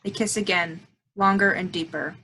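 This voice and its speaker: A woman's voice: on the low side, fairly even intonation, moderate pace, pushed and bright, poor audio. A woman reading the scripted stage directions of a play, with some background noise.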